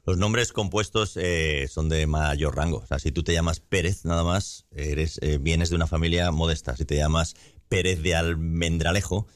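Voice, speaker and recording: A man's voice: rumbling low, steady tone, moderate pace, no room sound, studio quality. A bilingual man co-hosting a casual chat, musing at length and joking. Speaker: co-host.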